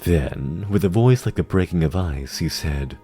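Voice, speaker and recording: A man with a low, mellow voice narrates fantasy fiction in a theatrical, deliberate way. His pitch moves widely from phrase to phrase, and soft music sits behind him. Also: lively intonation, breathy edge. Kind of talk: dramatic scripted fantasy narration. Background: music under the voice.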